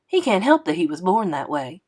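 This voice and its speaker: A woman's voice: lowish voice, very animated, fairly quick, a little mellow. A woman voicing a teasing, proud, blunt male musician from a 1930s jazz band in a storybook. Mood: matter-of-fact. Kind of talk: acted storybook dialogue.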